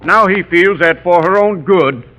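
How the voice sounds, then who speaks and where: An actor playing a pompous, forceful lawyer arguing in court in a 1930s radio drama. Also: male voice, high-pitched, a little slow, highs cut off.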